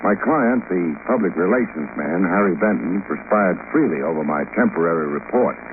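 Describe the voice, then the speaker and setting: A man's voice: low-pitched, animated, normal speed. An actor playing a cool, skeptical private investigator in 1950s radio detective style, dry and world-weary as he probes and narrates. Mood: matter-of-fact narrating. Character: cool, skeptical male private investigator, hard-boiled and wry. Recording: scratchy, noisy, narrow-band 1950s radio recording.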